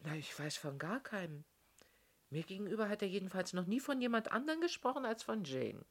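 A woman's voice: mid-range voice, very animated, fairly quick. An actress playing a guarded, loyal landlady in a German radio play, answering hesitantly and defensively.

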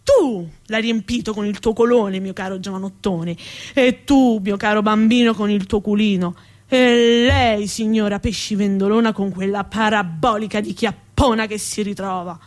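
A woman's voice: medium pitch, steady tone, normal speed, close to an everyday voice. A woman performing a comic theatrical monologue as an indignant, sarcastic, foul-mouthed female rat who sees herself as a proper lady. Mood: furious, scolding. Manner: ranting, sarcastic, emphatic.

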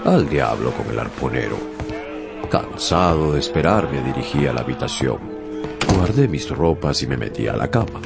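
A man's voice: extremely low pitch, very animated, moderate pace, gravelly, exaggerated voice. An actor playing a young sailor who narrates his own sea adventure in a dramatized radio story. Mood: wry, reflective. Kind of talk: dramatized first-person storytelling. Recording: music bed behind narration.

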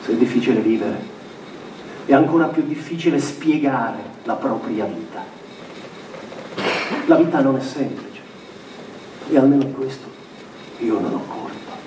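An actor playing a sensitive young husband in a literary radio theatre monologue, confessing by letter in measured, deliberate phrases with pauses. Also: male voice, mid-range voice, lively intonation, natural voice. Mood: grave, hesitant. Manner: measured, deliberate, pausing between short phrases. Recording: echoey, distant, noisy room recording.

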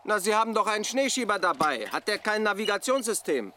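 An actor playing a blunt, complaining maintenance worker in a German radio drama. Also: male voice, sits high, very animated, fairly quick, sharp tone, slight background noise, exaggerated voice. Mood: offhand. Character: gruff, grumbling male lamp maintenance worker.

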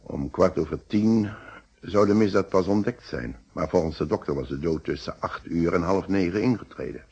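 A man's voice: low-pitched, normal speed, darkish tone, husky, dull audio, full character voice. An actor in a Dutch radio play playing a polite, self-assured married businessman who speaks precisely and logically. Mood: composed, precise. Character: composed, hospitable, logical married businessman being questioned.

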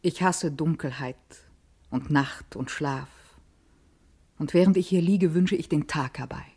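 An actress playing an eager, vain adolescent girl in a literary radio monologue, intimate and expressive. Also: deep voice, measured pace, breathy edge, full character voice. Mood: restless, intimate. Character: vain, eager adolescent schoolgirl hungry for life.

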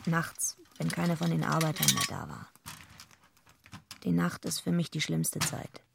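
An actress playing a tough female wrestler and night watchwoman who narrates bluntly and deadpan in a radio play. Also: low voice, a little slow, gravelly, background noise, heavily acted. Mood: weary, matter-of-fact.

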